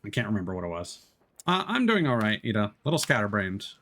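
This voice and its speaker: A man's voice: fairly low pitch, very animated, fast talker, a bit bright. A young man streaming from home, chatting casually with viewers, rambling through fillers and restarts and swearing freely. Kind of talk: casual live-stream chat.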